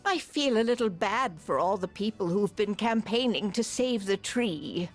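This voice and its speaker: An actress voicing a gently fussy, kind woman in a video game, drawing out her phrases. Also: mid-range voice, expressive, unhurried, faint music behind. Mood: soft regret.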